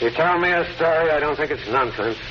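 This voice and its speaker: An actor playing a composed, matter-of-fact police detective in a 1940s radio drama, speaking in a measured, firm way. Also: male voice, fairly high pitch, lively intonation, close to an everyday voice. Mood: calm, firm. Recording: muffled 1940s radio with faint noise.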